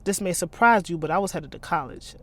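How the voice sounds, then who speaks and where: A woman's voice: lowish voice, expressive, a little fast, fairly bright tone. Woman reading as a menacing American street boss. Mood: boastful, relaxed. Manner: threatening, slangy, contemptuous. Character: hard, menacing man, ex-convict street boss, short-tempered.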